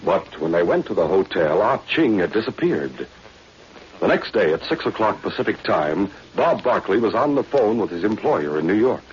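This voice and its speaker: A man's voice: fairly low pitch, very animated, normal speed. A man narrating a scripted drama in dramatic 1940s radio style. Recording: muffled, noisy old-time radio recording.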